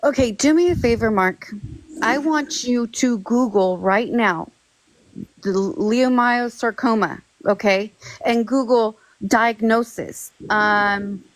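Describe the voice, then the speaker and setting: A woman's voice: on the high side, slow pace, no echo, low-quality recording. A woman on a live-stream discussion, making firm, pointed arguments and giving instructions.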